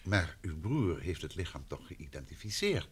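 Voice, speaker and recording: An actor playing a polite, probing gentleman investigator in a vintage radio play, patient and attentive. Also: sits low, big pitch swings, breathy edge, exaggerated voice. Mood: courteous, probing. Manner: polite, questioning, measured.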